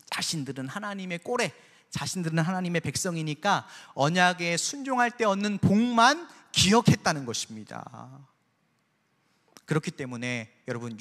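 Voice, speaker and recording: A man's voice: a little high, fairly bright tone. Emphatic preaching man in a reverberant sanctuary. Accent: Seoul Korean, trying to hide his Jeolla dialect. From Jeolla region, Korea. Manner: emphatic preaching, rhetorical questions to the congregation. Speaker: pastor. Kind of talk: sermon. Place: church sanctuary. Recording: long reverb of a church sanctuary.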